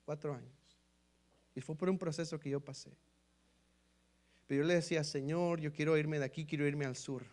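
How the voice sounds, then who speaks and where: Mexican man, pastor, high-pitched; lively sermon storytelling, Mexican Spanish accent, keeps saying "brothers". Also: normal speed, pauses often. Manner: storytelling, repeatedly addressing listeners as brothers; lively, acts out quoted dialogue. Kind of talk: sermon testimony.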